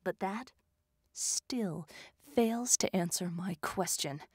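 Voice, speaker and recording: A woman playing a slow, probing interrogator. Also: on the low side, darkish tone, breathy edge, dry recording, clean studio sound. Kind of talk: fan audio drama acting.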